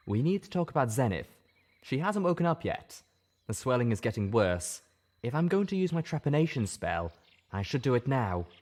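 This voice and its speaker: A man voicing a grave, polite and learned unicorn stallion in an audiobook, measured and formal. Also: mid-range voice, very animated, darkish tone, voice pushed up. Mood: grave, urgent.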